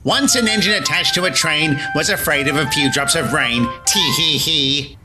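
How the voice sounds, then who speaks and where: Villainous cartoon diesel, acted by a man: devious bully, mocking, raised pitch, set over music. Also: normal speed, natural voice. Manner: mocking, sly. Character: sneering, devious male diesel engine, taunting bully. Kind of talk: cartoon-style character dub.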